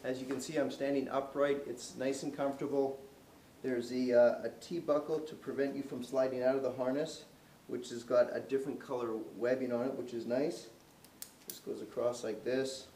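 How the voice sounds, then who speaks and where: A man's voice: mid-range voice, little pitch movement, moderate pace, mellow. A man in his forties walks through a product's features in a casual, unscripted demonstration, with fillers and restarts as he moves about. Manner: moving around while demonstrating. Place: indoor showroom, medium-sized room. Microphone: camera mic from a distance. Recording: roomy echo, distant camera mic, faint hiss.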